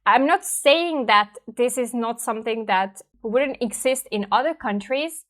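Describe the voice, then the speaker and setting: A woman's voice: a little high, a little slow, fairly bright tone. Finnish woman musing to camera. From Finland. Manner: thoughtful, conversational, frequent "right?" and fillers. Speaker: language teacher. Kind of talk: reflective personal talk to camera.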